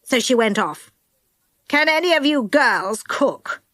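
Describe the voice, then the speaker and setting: A woman voicing a stern, strict old woman schoolmistress, speaking slowly and coldly in a clipped way. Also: high voice, lively intonation, fairly bright tone, dry recording, clean studio sound, exaggerated voice.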